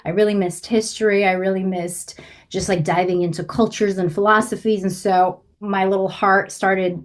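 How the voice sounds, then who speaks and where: A woman's voice: mid-range voice, level tone, moderate pace. A woman from Russia, a writer and guest on a podcast, answering casually and thinking aloud with fillers. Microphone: remote call.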